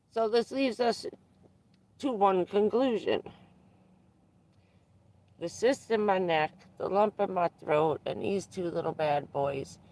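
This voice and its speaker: A livestreamer chatting casually with viewers, stuffed up and losing their voice, who keeps clearing their throat. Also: medium pitch, low-quality recording. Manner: frequent throat clearing, unhurried, rambling. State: congested and losing voice. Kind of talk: casual live stream chat with viewers.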